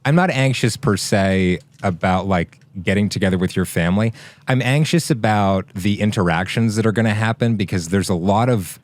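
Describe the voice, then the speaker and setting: A man's voice: fairly low pitch, moderate pace, no room sound. A young man co-hosting a casual podcast, wry and teasing. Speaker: podcast co-host. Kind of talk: casual chat.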